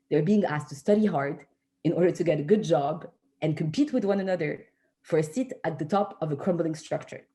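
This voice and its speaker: Woman, low pitch, mellow tone, prepared advocacy talk, earnest, measured phrasing. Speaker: youth education movement co-founder, speaker.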